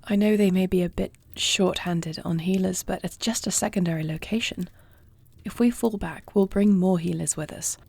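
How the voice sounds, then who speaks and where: A woman's voice: lowish voice, moderate pace, gravelly, darkish tone, slightly rough. A woman playing a proud, dutiful young-married warrior princess who can shift into a dragon, speaking formally in a scripted audio roleplay. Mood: warm, attentive. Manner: formal, regal phrasing. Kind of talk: scripted audio roleplay acting, one side of a dialogue.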